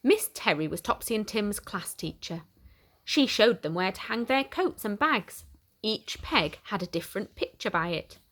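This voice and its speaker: A woman reads a picture book aloud for young children. Her mid-pitched voice moves up and down a great deal, and her delivery is expressive and simple, as if speaking straight to small listeners. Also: normal speed. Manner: animated, simple questioning aimed at small children. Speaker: children's story reader.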